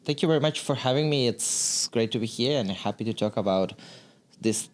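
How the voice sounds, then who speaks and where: A man's voice: medium pitch, fairly quick. A man in his thirties from Ecuador, speaking English with an Ecuadorian Spanish accent, telling his story earnestly and restarting phrases as a podcast guest. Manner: earnest, searching for words with restarts. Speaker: guest, immersive media artist. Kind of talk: casual interview talk. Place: podcast studio with sofas. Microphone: studio mic on boom arm.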